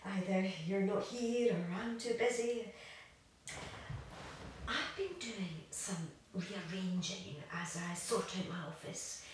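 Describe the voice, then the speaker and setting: A woman playing a gushing, bossy doting mother in an audio play, breezy and flighty. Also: mid-range voice. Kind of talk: audio drama acting. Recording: very echoey, distant, faint noise.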